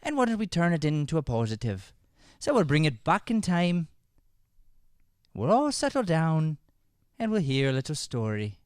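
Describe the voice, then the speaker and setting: Male improv host, animated delivery, smooth voice, chatty and encouraging. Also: on the high side, normal speed, long pauses, no echo, studio quality. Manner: chatty, encouraging audience address. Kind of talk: informal live show introduction. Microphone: podcast microphone.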